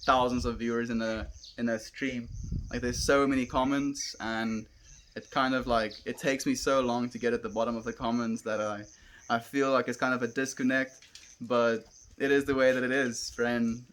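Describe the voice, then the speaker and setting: A young South African man chatting on a live stream outdoors, earnest and gentle, calling his viewers friend. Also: medium pitch, little pitch movement, moderate pace. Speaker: livestreamer. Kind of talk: casual live stream chat reading comments. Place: outdoors, wind and cows nearby.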